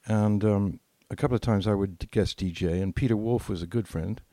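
A man's voice: on the low side, moderate pace, mellow, dry recording. An older man telling anecdotes casually as a podcast co-host, acting out bits of dialogue as he reminisces.

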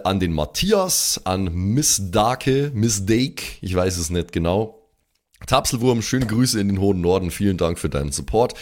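A man's voice: lowish voice, darkish tone. A man hosting a podcast, casually reading out thanks to supporters with jokey, slangy asides. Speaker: podcast host. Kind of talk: casual supporter thank-you roll call.

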